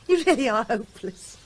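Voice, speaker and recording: An actress playing a vet's playful grown daughter in a vintage radio drama, young and animated. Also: female voice, fairly high pitch, normal speed, slightly rough. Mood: lively. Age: young adult. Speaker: Tess, the daughter.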